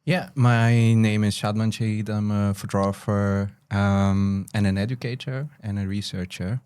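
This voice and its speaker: Low-pitched man, measured and reflective. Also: fairly even intonation, a little mellow, clean tone. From Bangladesh. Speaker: podcast guest, photographer, educator, researcher. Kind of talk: reflective conversational interview.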